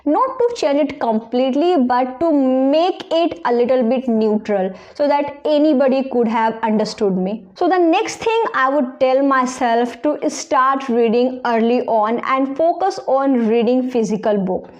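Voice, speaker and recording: A woman's voice: high-pitched, a little slow, hardly any pauses, darkish tone, muffled recording. A woman with a strong Indian accent, giving personal advice to viewers as an English-learning presenter.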